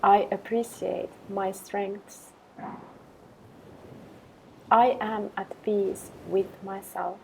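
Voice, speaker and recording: A woman leading a guided meditation with affirmations, speaking in a calm, gentle, measured way with long pauses. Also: mid-range voice, vocal fry, a little hiss or hum, poor audio.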